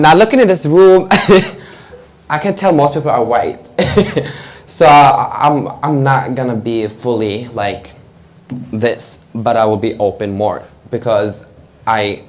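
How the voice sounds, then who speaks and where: A young man from Georgia talks openly in English as a panel guest. His voice sits on the low side colors his speech. He is candid, energetic and fond of fillers. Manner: animated, candid, frequent fillers and laughter. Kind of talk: live panel conversation. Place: event room with audience.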